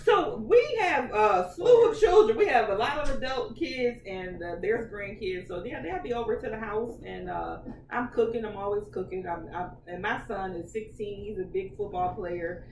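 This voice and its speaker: A woman's voice: mid-range voice, expressive, normal speed, few pauses. Chatty woman, echoey distant sound. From Michigan. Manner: chatty, laughing, bursts into loud cheers. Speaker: guest. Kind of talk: casual chat. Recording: echoey room, far from the mic, faint noise.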